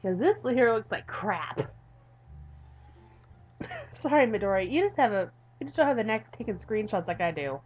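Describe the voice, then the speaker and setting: A woman's voice: mid-range voice, very animated, moderate pace, long pauses, highs cut off. A tired woman reads a visual novel aloud in a let's-play, dropping in informal, playful asides. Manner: informal, playful, self-interrupting.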